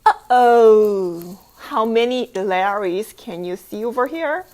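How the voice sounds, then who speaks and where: A woman's voice: medium pitch, animated, noisy background. A woman teacher reading a picture book aloud to children, playful and interactive, asking questions, repeating phrases and adding exclamations and sound effects. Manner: repeats phrases slowly for young learners.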